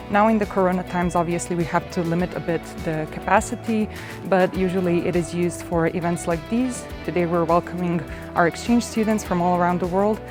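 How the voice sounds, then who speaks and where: A woman, presenting a campus tour in an upbeat, welcoming manner as a student guide. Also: lowish voice. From Serbia. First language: Serbian. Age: 20s. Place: university campus, outdoors and in large halls.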